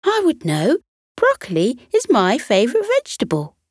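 Cheerful, sure-of-herself storybook lady cat played by a woman, high and very animated. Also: moderate pace, breathy edge, dry recording, studio quality, exaggerated voice. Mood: insistent. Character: cheerful, self-assured female cat in bedtime story.

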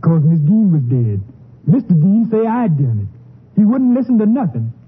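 An actor playing a frightened, deferential farmhand held prisoner in a 1950s radio western, folksy, with a Southern US accent. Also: male voice, sits high, sing-song, moderate pace, breathy edge, narrow, tinny audio, full character voice. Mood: pleading. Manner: humble, folksy, respectful. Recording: thin, band-limited 1950s radio recording.

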